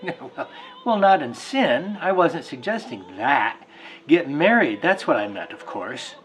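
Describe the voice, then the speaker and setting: A man's voice: fairly high pitch, very animated, a little slow, faint music behind, rough recording. A man playing a fussy, practical bachelor suitor with an insistent, matter-of-fact way of talking in a drama reading. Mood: flustered.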